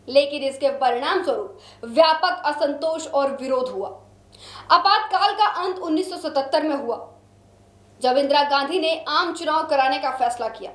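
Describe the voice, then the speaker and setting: A woman's voice: sits high, a bit bright, echoey room. A woman news presenter delivering dramatic scripted narration in an emphatic, solemn way.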